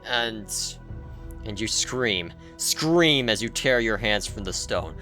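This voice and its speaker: A man giving an intense, theatrical scripted narration of video game writing, with deliberate pauses. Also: on the low side, animated, sharp tone, soft background music.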